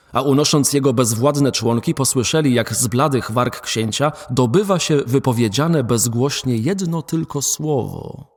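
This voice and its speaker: Expressive male storyteller. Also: mid-range voice, slightly rough. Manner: expressive, animated reading. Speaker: narrator. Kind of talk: storytelling narration of a radio play. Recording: faint background music.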